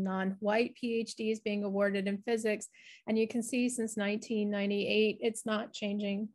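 A middle-aged woman, a researcher explaining her work in an online presentation over a video call. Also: medium pitch. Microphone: video call, webcam framing.

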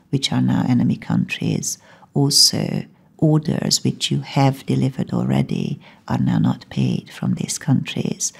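A woman historian explains her research in a measured, lecture-like way. Her voice is very gravelly and husky, with a dark, mellow tone. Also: rumbling low. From Hungary. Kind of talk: explanatory academic talk. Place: conference venue.

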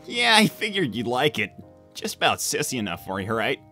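A woman's voice: very deep voice, big pitch swings, brisk, over loud music. A woman voicing a brash, cocky teenage male hedgehog hero in a fan cartoon, flippant and teasing. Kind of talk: fan-made cartoon voice acting.

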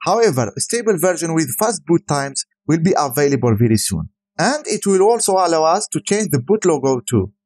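A man's voice: on the high side, very animated, a little fast, hardly any pauses, a little mellow, husky. A man who is a native Arabic speaker, giving a scripted voice-over product review as a tech reviewer.

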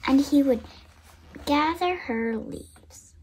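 A nine-year-old girl reading a children's picture book aloud, carefully and a little haltingly. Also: sits high, a little mellow, slight background noise, poor audio. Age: child, 9 years old. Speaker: book reader and show host.

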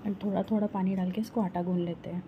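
A woman's voice: fairly low pitch, fairly even intonation, quick pace, phone-quality sound, noisy background. A woman from Rajasthan, India, talking a viewer through a home recipe step by step in her kitchen, recorded on a phone held close. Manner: homely, explains step by step. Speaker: home cooking vlogger. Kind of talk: casual cooking walkthrough.

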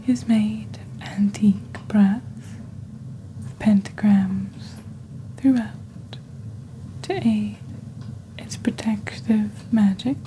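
A woman softly presenting products in a hushed, breathy, gentle and deliberate ASMR style, like a scripted fantasy shopping channel. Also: mid-range voice, poor audio. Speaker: host of a witch-themed shopping channel roleplay.

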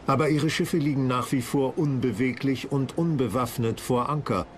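A man's voice: medium pitch, normal speed, a little hiss or hum. A man reading scripted narration for a history documentary.

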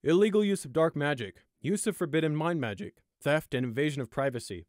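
A man's voice: mid-range voice, darkish tone, dry recording, clean studio sound, close to an everyday voice. A man voicing a stern male unicorn guard with a firm, scornful, clipped delivery in a storybook reading. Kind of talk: audiobook character acting.

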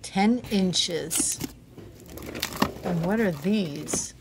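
A woman's voice: on the low side, drawn-out words, noisy background. A woman narrating a shop-with-me vlog inside a store, filming on a handheld phone and gushing over the items she finds. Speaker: shopping vlogger. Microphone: handheld phone camera.

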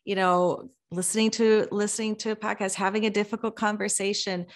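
A woman's voice: medium pitch, level tone, fairly quick. A woman in her forties hosting a podcast, encouraging and affirming her guest in casual chat, on a studio mic with a headset. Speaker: podcast host. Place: home studio.

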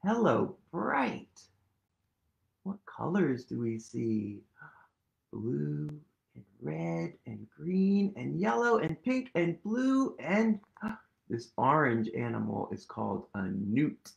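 A playful man in his thirties reads a picture book to small children in a slow, unhurried way. His pitch swings widely as he coaxes the kids along, and a gravelly edge sits under his voice. He sits on a sofa at home, talking into a webcam. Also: muffled recording. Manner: animated, playful, sing-song, whispers for effect. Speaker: children's storytime reader. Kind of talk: read-aloud for young children. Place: living room at home, seated on a sofa.

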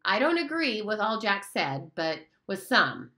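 Lady doing a fussy know-it-all kid in a storybook, prim and preachy, high bright voice. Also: big pitch swings, unhurried. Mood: lecturing. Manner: prim, lecturing. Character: fussy, earnest boy with glasses.

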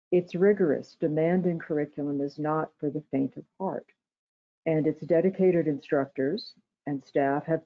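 A woman serving as education director, giving a prepared, soft-spoken welcome speech for a graduation ceremony on a video call. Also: low voice. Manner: soft-spoken, measured, read-aloud delivery. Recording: tinny phone-like video call audio.

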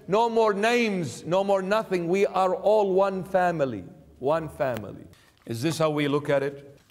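High-voiced preacher man in a reverberant church. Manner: emphatic, rhetorical questions and repeated lists, gestures broadly. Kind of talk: sermon commentary. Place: church sanctuary, indoors.

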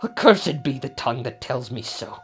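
A man's voice: medium pitch, lively intonation, normal speed, slightly rough. A man playing a doomed, defiant tyrant king in a Shakespearean radio drama, declamatory and swinging between brooding and roaring. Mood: bitter defiance.

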